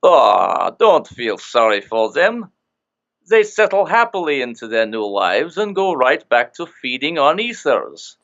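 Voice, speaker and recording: A man playing a smug, breezily callous overseer in an audio drama, smooth-talking and dismissive. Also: mid-range voice, sing-song, measured pace, fairly bright tone, lo-fi sound. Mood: breezy, dismissive.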